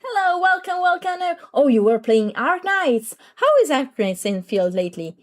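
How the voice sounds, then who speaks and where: A young adult woman streamer with a slightly raspy throat, chatting to viewers in a rambling, talkative way. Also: high voice, big pitch swings, normal speed. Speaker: VTuber streamer. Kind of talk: casual livestream chat.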